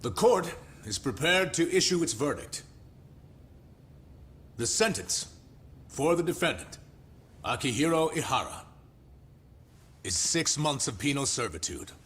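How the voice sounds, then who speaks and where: An actor playing a stern, formal courtroom judge in a video game dub. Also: male voice, a little high, big pitch swings, measured pace, pauses often, a bit bright.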